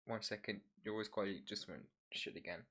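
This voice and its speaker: A man's voice: fairly low pitch, steady tone, brisk. A young man with a Persian accent co-hosting a crude comedy podcast, throwing out shock jokes and teasing. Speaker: podcast co-host.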